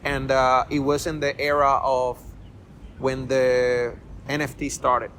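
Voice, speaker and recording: A middle-aged man hosts a relaxed interview podcast. His voice sits at an ordinary pitch, and he loops back over his own words as he talks. A soft hum of background noise lingers underneath. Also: slow. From Puerto Rico. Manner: rambling, repeats words and restarts often. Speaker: podcast host. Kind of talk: casual interview chat.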